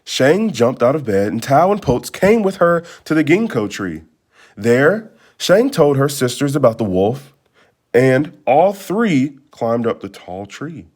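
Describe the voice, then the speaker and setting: A man narrates a children's story aloud at an unhurried, slow pace. His mid-pitched voice is very animated, rising and falling widely as he reads. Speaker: storybook reader. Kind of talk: expressive read-aloud narration.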